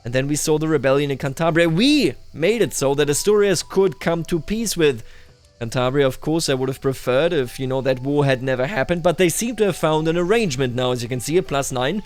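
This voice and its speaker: Higher-voiced man narrating a strategy game. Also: animated, a little fast. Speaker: gaming video host. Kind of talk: unscripted roleplay commentary over a strategy game. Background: music behind the voice.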